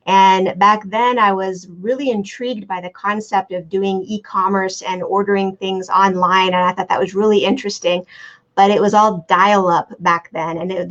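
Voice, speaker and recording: A woman's voice: medium pitch, fairly bright tone, muffled recording. A middle-aged American woman talking casually as an interview guest, chatty, with frequent fillers and easy laughs.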